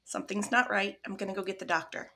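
A Midwestern woman in her forties talks as a podcast guest, earnest and quick to agree. Her voice is bright and clear at a middle pitch. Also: fairly even intonation. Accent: American Midwest. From American Midwest. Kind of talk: conversational interview.

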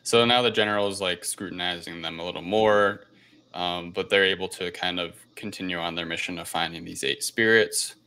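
A man's voice: deep voice, steady tone, fairly quick, pushed and bright, clear, resonant tone. A man hosting a podcast, recapping a film in casual, loose, informal conversation. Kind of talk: casual film review chat.

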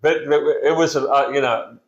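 A man's voice: mid-range voice, moderate pace. A middle-aged man from New South Wales, speaking as a pastor in a casual interview, hesitant with many fillers and restarts, laughing easily. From Blue Mountains, New South Wales, Australia. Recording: echoey, dull lo-fi room sound.

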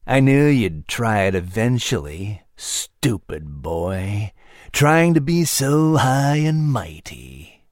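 A man voicing a menacing, sneering panther in a storybook, with a low growl and a slow, taunting delivery. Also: sing-song, no room sound, studio quality. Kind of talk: audiobook animal character acting.

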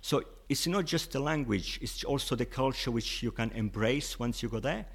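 A man's voice: medium pitch, moderate pace, fairly bright tone, slightly rough. A man with an Italian accent, a Spanish teacher, explaining patiently as an interview guest and often saying "you know".

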